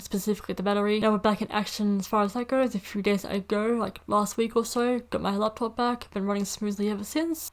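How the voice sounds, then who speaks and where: Rambling woman chatting casually to camera. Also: mid-range voice. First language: English. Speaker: reaction video host. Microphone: USB desktop microphone.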